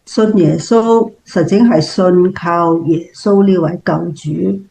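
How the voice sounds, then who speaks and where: Woman playing a mellow, devout Cantonese-accented older lady. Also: on the low side, slow pace, gravelly, poor audio.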